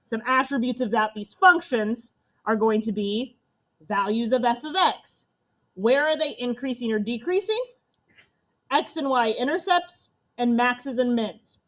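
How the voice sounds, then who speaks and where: A young woman with a loud voice, teaching a math class step by step. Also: on the high side, poor audio. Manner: step-by-step explaining to students.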